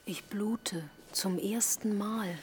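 An actress playing a naive, blunt young survivor in a German radio play, speaking in a hushed, plain, questioning way. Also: female voice, mid-range voice, steady tone, slow pace, a little hiss or hum, natural voice. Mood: naive, earnest. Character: Marischka, naive, blunt young girl, later remembering survivor.

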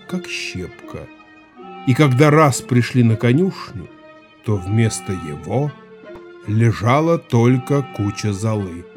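An actor playing a rustic Cossack villager who spins an eerie tale in a folksy, emphatic way, in an audio play. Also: male voice, medium pitch, darkish tone. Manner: folksy, emphatic, exclamatory storytelling. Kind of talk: theatrical audio play acting.

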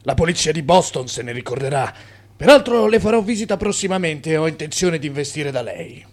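A self-important police commissioner in a radio drama. His voice is a little high for a man and moves up and down with great animation. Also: lively intonation, fairly quick, darkish tone. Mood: official, ingratiating. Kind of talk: radio play acting.

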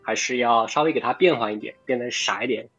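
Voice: mid-range voice, fast talker, dull audio, music in the background. A voice actor from Inner Mongolia, chatting casually and tentatively with castmates. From Inner Mongolia, China. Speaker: voice actor in an audio drama. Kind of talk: casual, tentative group chat.

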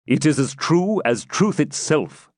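A man's voice: fairly high pitch, animated, unhurried, a little mellow, no echo, voice pushed up. Man playing grim battle-worn knight, dry sound. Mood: insistent. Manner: emphatic, solemn archaic speech.

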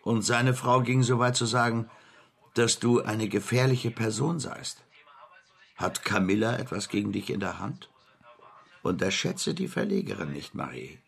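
A man acting a powerful, manipulative middle-aged businessman in a German radio drama, measured, insinuating and condescending. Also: lowish voice, animated, gravelly, husky, heavily acted. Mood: probing.

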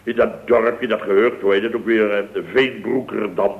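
A man's voice: on the low side, fairly even intonation, normal speed, lightly acted. An actor playing a blustering, exasperated Dutch company boss in a late-sixties radio comedy, exclaiming his way through anecdotes. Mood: aggrieved. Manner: exclamatory, anecdotal, full of tag questions. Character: blustering, exasperated male boss of a building firm. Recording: dull old radio recording.

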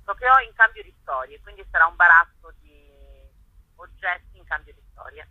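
A man's voice: sits high, animated, moderate pace, no echo. A man chatting casually as a guest on a radio phone-in. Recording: dull lo-fi telephone line.

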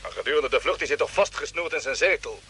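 Careful bossy spaceship captain, sharp high voice, old radio play with some noise. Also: male voice, expressive, fast. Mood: cautious, concerned. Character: Jeff, male spaceship commander, decisive, cautious leader. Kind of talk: Dutch radio play acting. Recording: noisy old radio drama recording.

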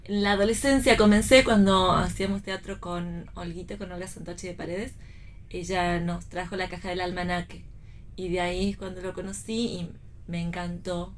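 A woman's voice: fairly low pitch, fairly even intonation, normal speed. A woman with an Argentine accent chatting casually as a panelist on a radio programme. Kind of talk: casual chat about theatre.

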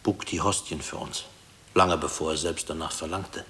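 An actor playing a brooding husband and former prisoner in a 1960s radio drama, telling his memories gravely and deliberately. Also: rumbling low, fairly even intonation, pushed and bright, long reverb tail. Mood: grave, reflective.

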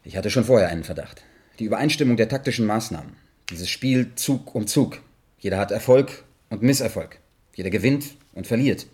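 An actor playing a troubled, earnest investigator in a German science fiction radio drama, with a husky voice. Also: male voice, lowish voice, expressive, fairly quick, a little mellow. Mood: earnest, intense. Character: troubled, restless male investigator, earnest and insistent.

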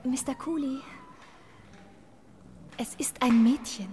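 An actress playing a brisk, matter-of-fact hospital nurse in a radio play. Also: female voice, sits high, mellow, slight background noise. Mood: calm. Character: brisk hospital nurse, middle-aged woman.

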